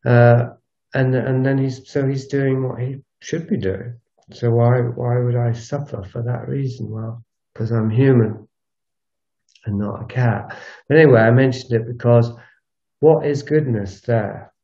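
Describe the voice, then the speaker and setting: A man recording a solo spoken audio log on a walk, musing in a halting, unscripted way and circling back over his phrases. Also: mid-range voice, fairly even intonation, warm tone. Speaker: podcast host. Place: outdoors, recorded while walking. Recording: dull lo-fi outdoor recording.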